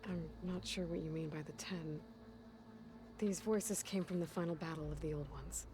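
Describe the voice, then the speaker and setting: A woman's voice: sits low, fairly even intonation, normal speed, background noise, close to an everyday voice. An actress playing a capable, inquisitive young woman wanderer in a video game, speaking in a matter-of-fact way. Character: capable, inquisitive young female wanderer and tech-savvy outlander.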